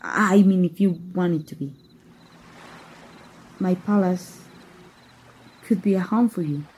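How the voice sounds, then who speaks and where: Casting: female voice actor as a romantic, adventurous young princess for ASMR roleplay. Mellow, dark tone, very airy and soft, earnest. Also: on the low side, slow pace. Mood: shy, tender. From Mexico. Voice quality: soft, breathy. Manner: hesitant, tender, earnest. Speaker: amateur voice actor.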